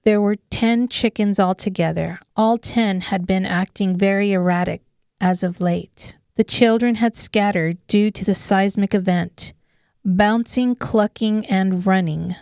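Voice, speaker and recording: A woman's voice: medium pitch, fairly even intonation, a little slow, darkish tone, clean tone, no room sound, studio quality. A woman reading scripted narration of an apocalyptic horror audiobook. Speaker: author narrating her audiobook.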